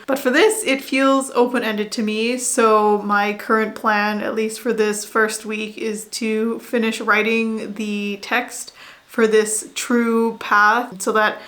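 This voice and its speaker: High-voiced woman chatting casually. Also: fairly bright tone. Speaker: vlogger and writer. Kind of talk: casual vlog chat to camera.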